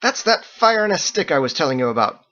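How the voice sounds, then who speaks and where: A man's voice: a little high, big pitch swings, fast, a bit bright, highs cut off, voice pushed up. A man voicing a hearty, protective male innkeeper cook who calls out in exclamations in an audiobook story. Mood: pleased. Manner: calling out, exclamatory.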